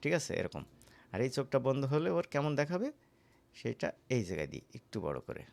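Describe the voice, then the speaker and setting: A man with a Bangladeshi accent giving a casual, conversational software tutorial as an instructor, often checking in with the listener. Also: medium pitch, huge pitch swings, moderate pace, gravelly. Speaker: animation course instructor.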